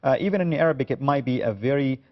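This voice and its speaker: A man's voice: mid-range voice, quick pace, highs cut off. A man hosting a studio talk show, speaking with many hesitations and filler sounds as he explains and asks questions. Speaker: host.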